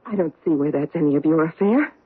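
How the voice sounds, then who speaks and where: A woman's voice: deep voice, expressive, fast talker, slightly rough. An actress playing a defensive, evasive housewife hiding her past, in a 1970s radio drama. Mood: guarded. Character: guarded middle-aged American housewife hiding her past. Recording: tinny old radio broadcast.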